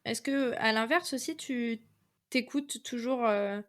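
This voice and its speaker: Podcast host, woman, higher voice, informal and curious, a bit hesitant. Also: measured pace. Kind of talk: casual interview questions.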